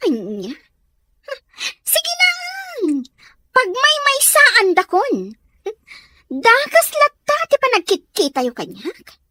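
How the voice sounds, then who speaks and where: Spoiled, defiant schoolgirl, played by a woman, audio drama: very high, swooping delivery, picks fights. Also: wildly swooping pitch, slow pace, long pauses, bright, forceful tone, no room sound, studio quality, full character voice. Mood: mocking, defiant. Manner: snappy, confrontational. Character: spoiled, quarrelsome young schoolgirl daughter, rude and defiant. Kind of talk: Ilocano audio drama acting.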